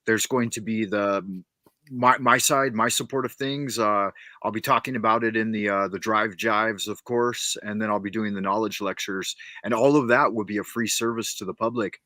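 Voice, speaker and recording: A man's voice: fairly low pitch, fairly even intonation, moderate pace, sharp tone, dry recording, studio quality. A man hosting an interview, upbeat and promotional, who often stammers on the first words of a sentence. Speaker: interview host. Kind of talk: casual interview conversation.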